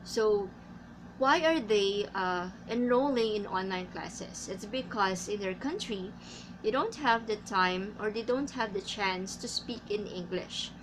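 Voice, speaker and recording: A woman from the Philippines with a Filipino accent, an English teacher giving tips in a casual vlog, speaking carefully with many pauses and fillers. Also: medium pitch, background noise. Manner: slow and careful, frequent uh fillers.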